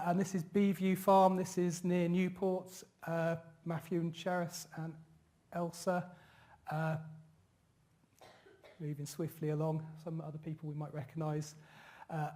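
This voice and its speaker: A man giving a talk to an audience about planning, pausing often with fillers and restarting phrases. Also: fairly high pitch, a little mellow. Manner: halting, many fillers and restarts. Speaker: conference speaker. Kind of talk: presenting a talk with slides.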